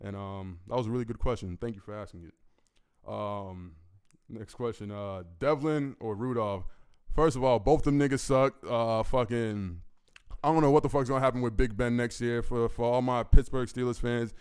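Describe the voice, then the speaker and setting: A man in his twenties with a deep, gravelly voice, a musician answering fan questions in laid-back, profane casual talk. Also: fairly even intonation, normal speed, darkish tone. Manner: laid-back, profane, keeps asking "you know what I mean"; commanding, projecting delivery. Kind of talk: casual solo Q&A.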